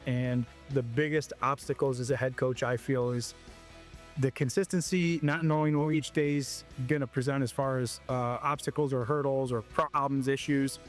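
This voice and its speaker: A man in his forties, a high school football coach from Ohio, answers interview questions at a mid-range pitch. He talks haltingly, restarting and repeating words as he gathers his thoughts. Soft music plays faintly behind him.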